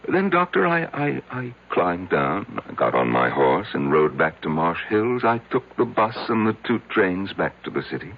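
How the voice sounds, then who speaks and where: An actor playing a lonely, obsessive middle-aged man confessing haltingly to his doctor in a radio drama. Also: sits low, big pitch swings, exaggerated voice. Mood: detached, halting. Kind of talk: 1970s radio drama acting. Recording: muffled old radio recording.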